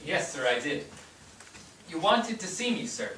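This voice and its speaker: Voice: mid-range voice, sing-song, measured pace, a bit bright. A performer playing a polite, defiant twelve-year-old immigrant boy in a staged reading. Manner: respectful, clipped answers, stubborn when pressed. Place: theatre stage. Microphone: distant microphone. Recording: distant, echoey stage with noise.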